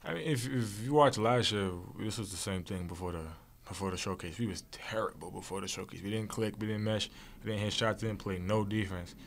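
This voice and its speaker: A young male basketball player talks quickly and casually to reporters after a game, repeating his points. His voice sits fairly low and stays flat in tone. Faint room hum sits behind his desk mic. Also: vocal fry. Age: young adult. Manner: casual, rapid, repetitive phrasing. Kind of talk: postgame press conference answers. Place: press room with logo backdrop. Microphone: desk mic on stand.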